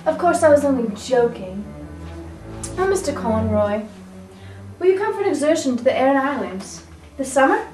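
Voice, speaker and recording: A woman's voice: sits high, sing-song, moderate pace, long pauses, a little mellow. A young woman acting in an amateur school drama, delivering teasing, scolding lines. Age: young adult. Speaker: student actor. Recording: echoey room from far off, music behind.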